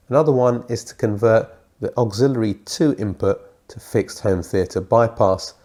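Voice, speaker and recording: A middle-aged man with a British accent, his voice thick and croaky from a lingering cold. He talks low and mellow through a scripted review, dropping in the odd dry aside at his own expense. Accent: British English. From Britain. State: congested from a cold.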